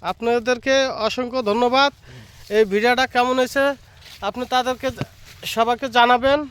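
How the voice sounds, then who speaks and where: A man's voice: very high-pitched, little pitch movement, normal speed, a bit bright, clean tone. A man presenting an informal vlog, addressing viewers directly and repeating himself. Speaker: vlogger, host. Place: outdoors in a guava orchard. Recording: outdoor recording with faint background noise.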